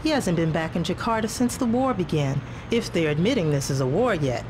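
A woman's voice: on the low side, animated, a little fast, lightly acted. A woman playing a confident, wry undercover intelligence agent in a video game, speaking with composure. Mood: tense, bitter. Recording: loud music and vehicle noise behind.

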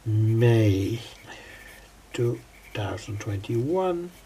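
An older man giving an unscripted hands-on tutorial in a halting, deliberate way, often repeating his phrases. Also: on the low side, animated, warm tone. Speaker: electronics hobbyist presenter. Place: indoors at a home workbench. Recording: clearly noisy home recording.

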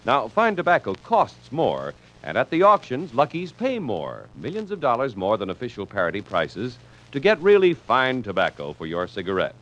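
A man's voice: medium pitch, huge pitch swings, moderate pace, fairly bright tone. An American man reading a scripted 1950s radio commercial as its announcer. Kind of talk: 1950s radio cigarette ad read. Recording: dull, lo-fi old radio recording.

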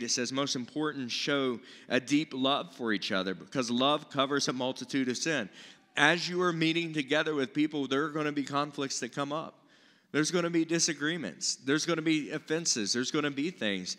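A man's voice: medium pitch, pushed and bright. A man in his forties, a pastor giving an earnest, informal, conversational Bible teaching from a stage. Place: stage of a church auditorium with seated audience. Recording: reverberant large-hall stage sound.

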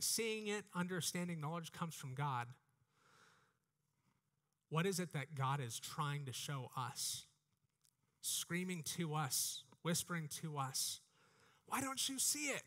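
A man's voice: a little high, pushed and bright. A man in his thirties with an American accent, giving an earnest, exhorting sermon into a headset mic, often repeating phrases. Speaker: preacher. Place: church stage, indoors.

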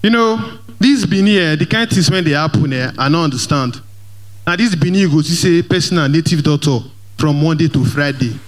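A young man with a Nigerian Pidgin English accent doing lively stand-up comedy in a large church hall, speaking into a handheld mic and mixing Pidgin with English as he works the crowd. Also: fairly high pitch, a little fast. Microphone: handheld microphone.

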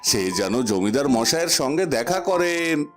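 Slow, animated village town crier played by a man. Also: mid-range voice, over music. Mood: proclaiming. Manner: declamatory. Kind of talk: drawn-out public proclamation in a cartoon.

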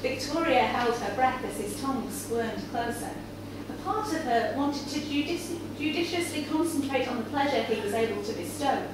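A woman with a high voice reads a story aloud at a live event. She is heard from a distance in a strongly reverberant cafe bar, with clear noise from the room around her. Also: expressive, moderate pace, few pauses. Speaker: reader at a live literary event. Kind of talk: live story reading to an audience. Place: indoor cafe bar with a small audience. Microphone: far camera. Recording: echoey noisy room, far from microphone. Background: audience ambience and background noise.

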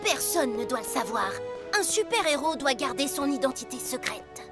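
Very high little boy, boastful, theatrical, animated melody, cartoon superhero wannabe, music underneath. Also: normal speed. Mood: pompous. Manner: grandiose, self-important, theatrical. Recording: music behind the voice.

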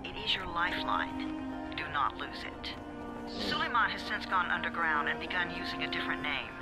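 An actor playing a detached, formal mission briefing officer in a video game, clipped and official. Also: male voice, fairly high pitch, normal speed, natural voice. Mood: detached, grave. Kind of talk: scripted military briefing narration. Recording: loud music over the voice.

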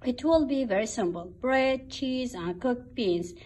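A woman's voice: a little high, lively intonation, a little slow, a little mellow. A woman voicing a modest, timid little country mouse from a storybook, in a simple, careful way. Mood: content.

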